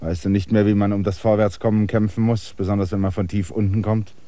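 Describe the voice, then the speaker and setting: Guy acting proud bitter outsider man in a radio drama, lowish voice, intense and restless. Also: little pitch movement, fast talker, mellow, close to an everyday voice. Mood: earnest.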